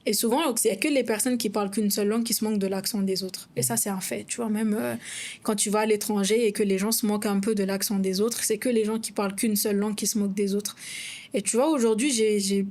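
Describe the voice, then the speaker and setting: A young woman with a Malagasy accent talks frankly in a relaxed podcast chat. Her voice sits at a middling pitch, and she speaks her mind plainly in an easy, conversational way. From Madagascar. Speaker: podcast co-host, content creator.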